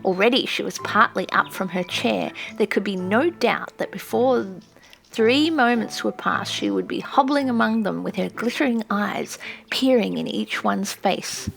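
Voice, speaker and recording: A woman's voice: mid-range voice, animated, unhurried, gravelly, soft background music, rough recording. A woman narrating an audiobook in a scripted, literary storytelling style.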